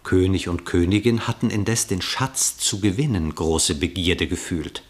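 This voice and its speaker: A man with a deep voice narrates a radio play. He reads scripted verse as the storyteller between the characters. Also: unhurried.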